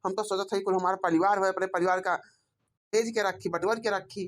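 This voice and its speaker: A woman's voice: medium pitch, steady tone, fairly quick, close to an everyday voice. A woman with an Awadhi accent voicing a pleading, put-upon grown village son and husband in a cartoon comedy. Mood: aggrieved. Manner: complaining, self-justifying.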